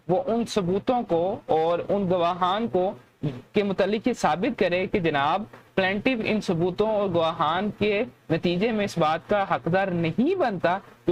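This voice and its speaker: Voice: medium pitch, lo-fi sound, slight background noise. A lecturer with a Pakistani accent, explaining methodically in a teaching style and mixing in English terms. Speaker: law lecturer.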